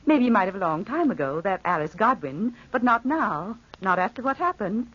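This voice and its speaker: A scoffing, opinionated gossip in an old radio play. Her voice is husky and very lively, with a knowing, brisk delivery. The recording is muffled. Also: medium pitch. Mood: scoffing, gossipy. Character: dismissive, opinionated small-town gossip woman. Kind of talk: 1940s radio acting. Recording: dull vintage radio drama recording.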